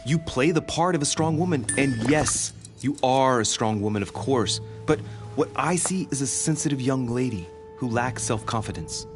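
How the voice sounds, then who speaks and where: With music behind him, an actor as an earnest male investigator presses hard in a dramatic game. His lively, swinging intonation makes him sound emphatic, probing and easily exasperated. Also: medium pitch, normal speed, natural voice. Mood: frank, challenging. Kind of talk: dramatic video game dialogue.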